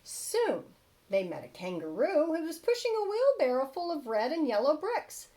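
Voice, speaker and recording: A woman's voice: sits high, huge pitch swings, moderate pace, mellow. A woman narrating a children's storybook expressively, like a teacher reading aloud to young children.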